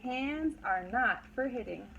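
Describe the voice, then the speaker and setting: Slow-talking woman teacher reading to little kids. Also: on the high side, expressive, vocal fry, sharp tone, muffled recording, slight background noise. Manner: instructional, simple phrases with repetition and prompting questions. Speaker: pre-kindergarten teacher. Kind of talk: read-aloud lesson for young children.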